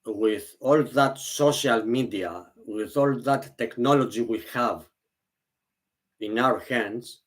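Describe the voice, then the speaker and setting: A man whose first language is Greek talks to his viewers in English at a slow, deliberate pace, with a pitch that sits in the middle of the range. He keeps pausing, repeating words and starting his phrases over. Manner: halting, repeats and restarts phrases, non-native grammar. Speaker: vlogger. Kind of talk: slow, earnest monologue to camera.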